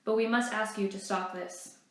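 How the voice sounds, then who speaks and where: A woman's voice: medium pitch, fairly even intonation, normal speed, a bit bright, very echoey, natural voice. A woman voicing a firm, courteous male resistance leader in a read-aloud story, with a formal, polite manner. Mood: calm, resolute.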